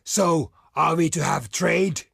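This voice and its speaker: A proud barbarian envoy from a strategy game, with a rough, gravelly and husky voice. He declaims formally and slowly, weighing each phrase like a grave warrior. The sound is close and dry. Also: on the high side, fairly bright tone, no room sound, full character voice. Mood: solemn, bargaining. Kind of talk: scripted video game diplomacy lines.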